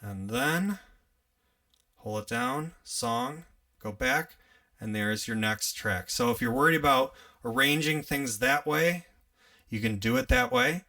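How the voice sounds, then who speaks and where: A young man with an upstate New York accent, hosting a casual live tutorial on music gear, chatty and relaxed, laughing often as he works. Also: medium pitch, takes it slowly, vocal fry, recorded from a distance. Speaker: livestream host teaching music gear.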